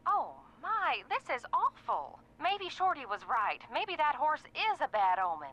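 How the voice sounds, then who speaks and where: Fretful, high-pitched aunt on the phone. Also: female voice, big pitch swings, a little slow, pushed and bright, exaggerated voice. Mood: worried. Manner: fretful, concerned. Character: worried, kindly aunt on the telephone. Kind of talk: video game voice acting.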